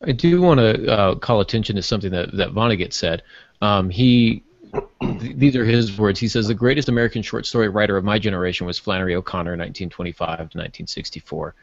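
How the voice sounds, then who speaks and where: A man talking through fiction writing as a podcast panelist in casual discussion, with a measured, halting delivery as he thinks aloud. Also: on the low side, creaky, no room sound, muffled recording. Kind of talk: literary discussion, casual chat.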